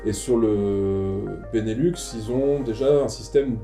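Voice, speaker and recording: A man's voice: fairly low pitch, unhurried, warm tone, soft background music. A man from Lille, a guest in a casual chat, speaking in a forthright, principled way. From Lille, northern France. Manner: outspoken, principled. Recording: roomy sound from off the mic.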